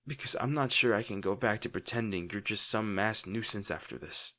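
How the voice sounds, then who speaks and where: A man playing a lovesick, protective villain in an intimate, brooding audio roleplay, speaking earnestly with dramatic pauses. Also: fairly low pitch, bright, forceful tone. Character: lovesick male villain, brooding, protective, torn over wounded hero.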